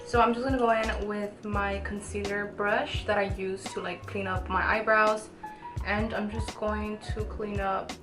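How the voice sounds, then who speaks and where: A woman's voice: medium pitch, little pitch movement, unhurried. A woman in her early twenties talking informally through a makeup tutorial, chatty and thinking aloud as she goes. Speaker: beauty vlogger. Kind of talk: casual makeup tutorial chat. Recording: roomy echo with background music.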